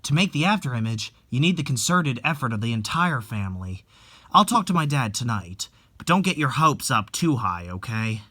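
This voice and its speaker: A man playing a calm, gentle young married man in a dramatic reading, soft-spoken and reflective. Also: mid-range voice, moderate pace. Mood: gentle, reluctant.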